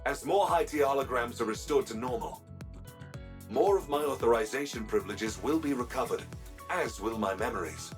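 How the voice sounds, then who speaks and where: A man playing a polite, formal ship's machine intelligence in a video game, speaking in measured, courteous phrases. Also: medium pitch, lively intonation, distant mic, faint music behind, natural voice. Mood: courteous, composed. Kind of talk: video game cutscene acting.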